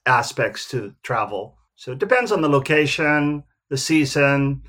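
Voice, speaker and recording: A man in his fifties who grew up in the Philippines, a photographer talking about his career in a relaxed, rambling way with many fillers. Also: medium pitch, a little slow. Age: 50s. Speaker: guest, professional photographer. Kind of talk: casual interview talk.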